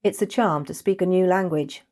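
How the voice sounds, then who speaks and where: A woman voicing an excitable, easily exasperated young trainee witch in a storybook. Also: on the low side, normal speed, close to an everyday voice. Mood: teasing, busy.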